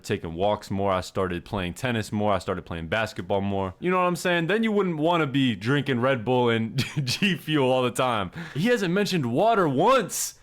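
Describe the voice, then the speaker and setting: A man's voice: mid-range voice, lively intonation, moderate pace, few pauses. A man streaming casual reaction commentary, talking in a slangy, emphatic, argumentative way.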